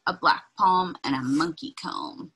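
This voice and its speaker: A woman reading a children's picture book aloud in an expressive, deliberate, breathy way, adding informal asides as she points things out. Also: on the low side, in a whisper, rough recording. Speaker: read-aloud reader. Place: indoors, small room with bookshelves.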